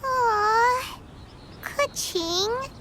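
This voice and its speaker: A woman voicing a small, cheerful, earnest childlike girl companion in a video game, with a squeaky voice and artless manner. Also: noisy background, exaggerated voice. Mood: disappointed. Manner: exclamatory, artless, unhurried. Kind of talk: video game English dub acting. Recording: loud music underneath.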